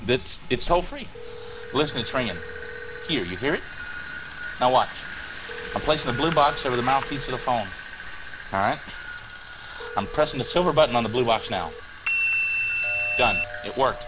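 Man, medium pitch, short emphatic phrases, live demonstration, clear street noise behind. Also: animated, moderate pace, pauses often. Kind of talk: excited demonstration, talking listeners through each step. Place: outdoors by a phone booth.

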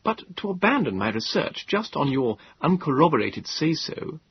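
Male narrator voicing a cheerful, stubborn young inventor; raised pitch, lively. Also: moderate pace, gravelly, breathy edge, highs cut off, full character voice. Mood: brisk, bright.

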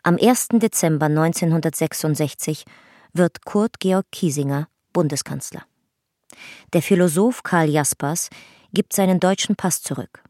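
An actress as the narrator of a historical radio drama, reading a chronicle in a sober, measured documentary manner. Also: female voice, low-pitched, warm tone, no room sound, clean studio sound.

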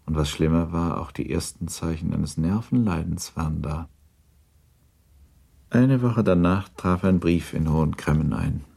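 A very low, husky man's voice with a gravelly creak. detached, sober narration for a literary radio play, reading the prose evenly. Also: measured pace, dark tone, full character voice.

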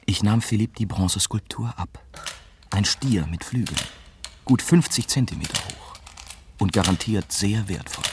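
An actor playing a young German journalist who narrates his adventure in measured first-person radio drama style. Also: fairly low pitch, noisy background, lightly acted. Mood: suspenseful, measured. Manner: measured, storytelling. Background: prominent music.

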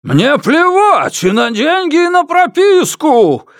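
A man voicing a furious, desperate father in an audiobook, shouting hysterical accusations. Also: fairly high pitch, expressive, takes it slowly, no room sound, clean studio sound, pitched above the performer's own voice. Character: enraged, desperate father hunting his missing daughter. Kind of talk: audiobook character acting.

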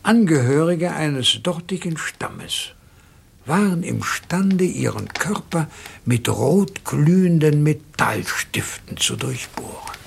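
Older eccentric colonel, radio crime drama: highish swooping voice, genial, sly, deliberate, theatrical. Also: male voice, expressive, vocal fry, slight background noise, full character voice. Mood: boastful, storytelling. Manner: theatrical, deliberate, savouring his words. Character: older eccentric colonel, genial, boastful, scheming country-house host.

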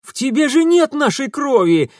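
A proud, quick-tempered young nobleman speaks imperiously with a raised, tense pitch, voiced by a male narrator. He sounds easily offended. The read is dry and close to the mic. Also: lively intonation, dry recording. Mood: indignant. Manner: indignant, imperious.

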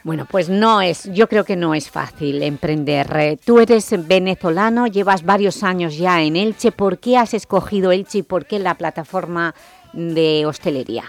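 A woman hosting a radio interview, asking brisk, inquisitive questions. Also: lowish voice, gravelly, soft background music. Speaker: radio host.

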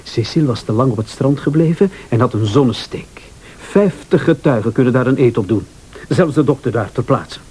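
An actor playing a cornered, scheming husband in a radio play, mocking, irritable and blustering. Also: male voice, medium pitch, expressive, moderate pace, a little mellow, slightly rough. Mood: dismissive.

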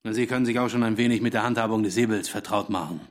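An actor playing a stiff, patronising naval officer who speaks in formal, deliberate military address in a radio drama. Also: male voice, on the low side, fairly even intonation, lightly acted. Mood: pompous, approving.

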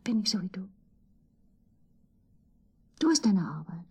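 Breathy, giddy woman in love. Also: medium pitch, sing-song, warm tone, exaggerated voice. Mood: wistful, pleading. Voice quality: breathy, often dropping to a whisper. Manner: halting, emotional, swinging between murmur and outburst. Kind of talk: intimate radio drama monologue.

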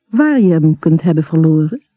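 Dark, mellow reassuring husband read by a woman. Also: deep voice, animated, moderate pace, no room sound. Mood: solicitous. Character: reassuring, solicitous young husband in a story.

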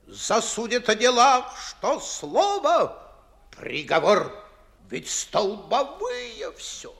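Pompous, swooping, high-voiced old-fashioned gentleman. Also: gravelly, full character voice. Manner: theatrical, declamatory, fussy, swooping emphasis. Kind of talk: 1950s Russian classical stage comedy acting.

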